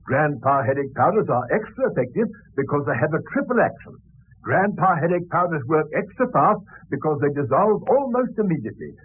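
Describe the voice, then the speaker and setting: A male announcer reads an old radio commercial in punchy phrases. His voice is fairly high and husky, with lively swings. The audio is tinny and narrow. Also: fairly quick. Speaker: advertisement announcer.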